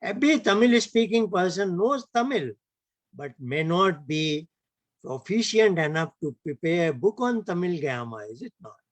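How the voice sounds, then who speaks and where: A man's voice: high-pitched, lively intonation, moderate pace, no room sound. A man with an Indian English accent giving an online lecture, emphatic and often closing points with rhetorical tag questions. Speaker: lecturer at an online heritage camp.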